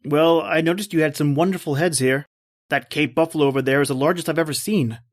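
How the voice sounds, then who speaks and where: A man's voice: fairly high pitch, fast talker, lightly acted. A man playing a shipwrecked big-game hunter, polite and observant, in earnest first-person radio drama style. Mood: polite, inquisitive.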